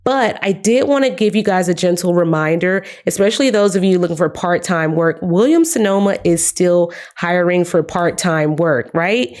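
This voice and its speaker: A young woman vlogger giving chatty job advice straight to her viewers, her voice hoarse and giving out from being sick. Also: on the low side. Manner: chatty, upbeat, addresses viewers as guys. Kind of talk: casual talk to camera.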